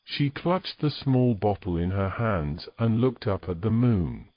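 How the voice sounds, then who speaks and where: A man's voice: fairly low pitch, expressive, measured pace, no room sound, highs cut off. A man narrating a scripted fantasy story in a dramatic storytelling style, close on the microphone.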